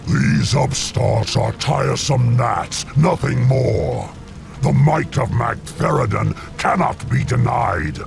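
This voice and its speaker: An actor playing an arrogant, wrathful demon overlord in a fantasy game, with a gravelly, pompous, contemptuous voice. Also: male voice, medium pitch, expressive, slow, exaggerated voice. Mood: scornful, boastful. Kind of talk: dramatic fantasy game cutscene acting. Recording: loud dramatic music under the voice.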